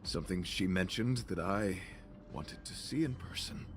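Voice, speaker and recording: A man's voice: sits low, normal speed, background noise, close to an everyday voice. A man playing an earnest, chivalrous knight with a formal, florid, theatrical manner in a video game. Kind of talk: anime-style video game voice acting.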